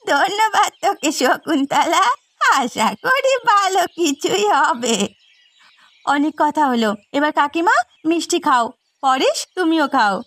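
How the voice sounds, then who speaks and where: A woman's voice: high voice, expressive, takes it slowly, fairly bright tone, heavily acted. A woman voicing an earnest, emphatic village shopkeeper in a fairy-tale cartoon. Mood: cheerful. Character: earnest village woman running a food shop.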